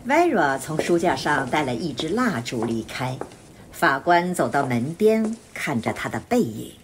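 A woman's voice: low-pitched, sing-song, measured pace, noisy background. A woman narrating a scripted radio drama as the storyteller. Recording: music behind voice.

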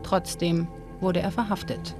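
A woman reading calm, measured scripted narration for a history documentary. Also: on the low side. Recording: studio voice-over with music behind.

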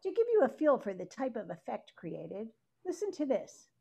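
A woman in her sixties delivers scripted scholarly commentary. Her mellow voice is fairly high and moves expressively, with a measured, theatrical lecturing manner. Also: big pitch swings, gravelly, breathy edge. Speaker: narrator and commentator. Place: living room at home, indoors. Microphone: video call microphone.

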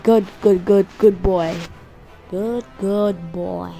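A woman doing a loose, improvised, sweary voice-over dub for an animation, repeating phrases excitedly. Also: mid-range voice, takes it slowly, gravelly, faint music behind, rough recording. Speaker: amateur voice-over dubber of an animation.

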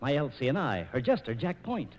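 An actor playing a showy, ingratiating wandering jester in a 1940s radio operetta. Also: male voice, medium pitch, sing-song, a little fast, darkish tone, highs cut off, natural voice. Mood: showy, pleading. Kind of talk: 1940s operetta stage patter.

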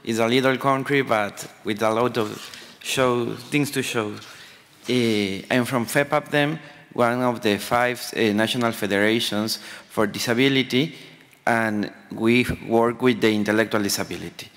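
A man's voice: mid-range voice, normal speed, fairly bright tone. A man in his forties from Ecuador with a Spanish accent reads a prepared conference speech. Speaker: conference presenter. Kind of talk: prepared speech read from notes. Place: large conference hall panel table. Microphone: table microphone. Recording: reverberant conference hall microphone.

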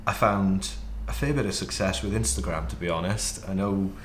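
A Welsh man in his thirties, a wedding videographer, answering interview questions in a relaxed way with frequent ums. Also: deep voice, normal speed, echoey room, slight background noise. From Wales. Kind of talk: casual interview. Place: home studio office, indoors.

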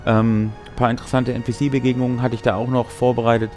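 A man's voice: mid-range voice, flat delivery, a little fast, darkish tone. A man in his thirties, a game master giving explanatory commentary on a tabletop game to camera. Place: small indoor room with brick wall. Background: music.

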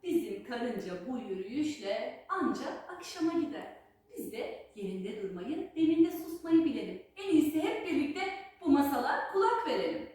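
A woman's voice: sits high, very animated, normal speed, darkish tone. A woman telling a children's folk tale as a storyteller, with rhythmic, rhyming, theatrical delivery. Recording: very echoey space, distant microphone.

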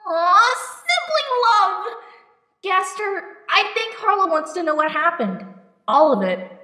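A nosy, perky cartoon asker, played by a woman rings out extremely high and bright in an echoey space. The delivery is cutesy and coaxing. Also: very animated, takes it slowly, heavily acted. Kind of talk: fan comic dub acting.

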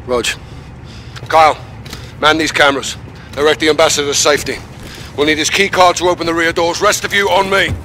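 A gruff military captain in a video game, gives orders quickly and tersely. His voice sits a little high, with clear noise behind it. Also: fairly even intonation, bright, forceful tone. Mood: commanding. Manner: terse, commanding. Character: gruff, commanding special forces captain. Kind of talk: video game cutscene acting.

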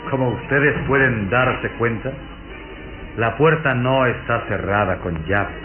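An actor playing a suave, mocking vampire count, speaking deliberately in a vintage radio drama. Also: male voice, mid-range voice, close to an everyday voice. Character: sinister, mocking aristocratic count, pale tall vampire. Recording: phone-like vintage radio recording, music behind.

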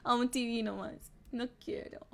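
A woman's voice: a little high, steady tone, measured pace, gravelly, fairly bright tone. A Peruvian woman in her twenties chatting casually and flirtatiously, with slangy, teasing talk. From Peru. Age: 20s. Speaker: streamer chatting with strangers on video chat.

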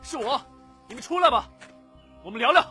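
A woman's voice: high voice, expressive, fairly quick, sharp tone, faint music behind. A woman voicing an earnest, troubled young male cultivator in an audiobook, speaking emphatically and pleadingly. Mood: earnest, persuading. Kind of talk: audiobook character dialogue.

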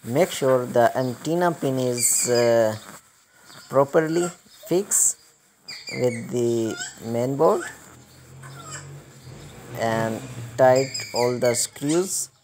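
A man giving step-by-step hands-on repair instructions in a halting, repetitive way. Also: mid-range voice, noisy background. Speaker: repair tutorial presenter.

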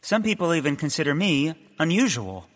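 An actor playing a neurotic, eccentric, earnest scientist in a comic audio drama. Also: male voice, fairly high pitch, big pitch swings, brisk, a bit bright, big-room sound. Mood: awkward, tender.

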